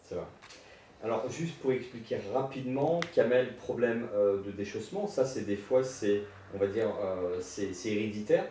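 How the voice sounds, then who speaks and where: Heard from a distance in a very reverberant room with faint noise, a man talks in a dark, mellow voice. He is a clinician interviewing his patient, familiar and conversational. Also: lowish voice, lively intonation, normal speed.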